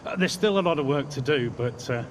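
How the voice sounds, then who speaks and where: Man acting older male team boss, avuncular, theatrical, lively swings, game cutscene, clear outdoor noise. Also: on the high side, brisk, close to an everyday voice. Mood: jovial.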